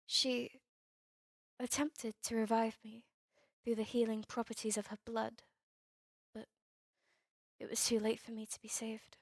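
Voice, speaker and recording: High, even-voiced melancholy vampire woman, acted out. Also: no room sound, studio quality. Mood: sorrowful. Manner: formal, old-fashioned, measured phrasing. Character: melancholy, courteous female vampire, turned young over a century ago. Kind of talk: ASMR roleplay audio drama.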